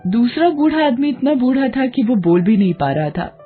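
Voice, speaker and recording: A woman's voice: fairly high pitch, sing-song, moderate pace, phone-quality sound, faint music behind. Woman narrating a cartoon story.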